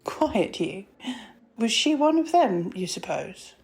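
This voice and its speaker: A woman playing a composed, caring and wry academic doctor in an audio drama, speaking in a proper, measured, teasing way. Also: fairly high pitch, big pitch swings, darkish tone, heavily acted. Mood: wry, calm.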